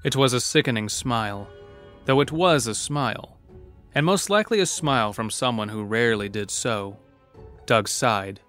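A man's voice: mid-range voice, moderate pace, a bit bright, soft background music. A man narrating a scripted horror story as the narrator of an audio drama.

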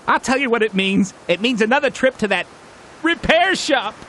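A woman's voice: a little high, expressive, moderate pace, a bit bright, slight background noise. A woman voicing a fretful, wisecracking little flying robot in a cartoon game. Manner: comically fretful.

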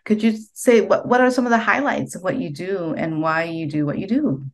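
A woman hosting a podcast interview over a video call, asking gentle questions and giving soft encouraging backchannels. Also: lowish voice, brisk. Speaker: podcast host. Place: home room with bookshelf.

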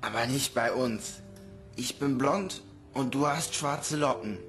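A man's voice: medium pitch, slow pace, fairly bright tone. A young man playing a tall, protective, skeptical orphan boy in a German audio drama, blunt and dry. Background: music.

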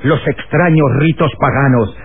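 A man's voice: fairly high pitch, moderate pace, background noise. A man announcing an old radio serial in a grandiose, declamatory, dramatic style. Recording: tinny old radio recording with loud music.

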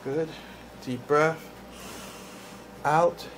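A man working as a chiropractor, giving calm hands-on instructions with short repeated reassurances in a small treatment room. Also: a little high, drawn-out words, a little hiss or hum, low-quality recording.

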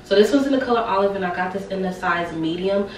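A young woman in her twenties chatting casually through a clothing try-on, her voice a little raspy from a sore throat, repeating words for emphasis. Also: mid-range voice, fast. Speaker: fashion vlogger. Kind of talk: casual try-on haul chat. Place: small bright bedroom. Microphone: camera mic a few steps away. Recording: roomy sound from a distant camera.

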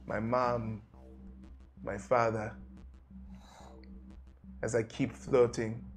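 A man playing a tabletop game, describing his character's actions in a rambling, animated way full of "like"s. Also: mid-range voice. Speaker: player. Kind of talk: tabletop roleplay narration.

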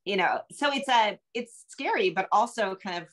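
A woman's voice: medium pitch, lively intonation, moderate pace. A middle-aged American woman speaking as an author guest on a video call, explaining casually and often circling back to rephrase. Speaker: novelist and screenwriter guest. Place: room with bookshelves, indoors.

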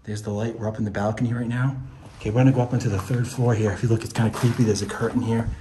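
A man's voice: on the low side, fairly even intonation, very fast. A man hosting a casual exploring vlog, talking to viewers as he films on a handheld phone inside an abandoned building. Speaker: host of an urban exploring video. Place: inside an abandoned mausoleum at night. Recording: noisy handheld phone recording.

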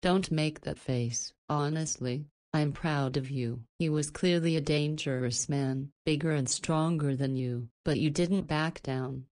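Deep-voiced, motherly woman acted by a woman. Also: measured pace, few pauses, a little mellow. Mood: encouraging. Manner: firm, caring, chiding. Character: protective, motherly woman, proud, gently scolding guardian. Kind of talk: visual novel voice acting.

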